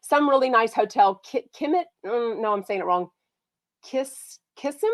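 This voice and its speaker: A woman from Texas, hosting a casual gossip livestream. She has a slight cold that makes her sound nasal, and she talks in a bubbly, excitable way. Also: on the high side, moderate pace, no echo, clean studio sound. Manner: bubbly and excitable, lots of "like" and "oh my gosh". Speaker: podcast host.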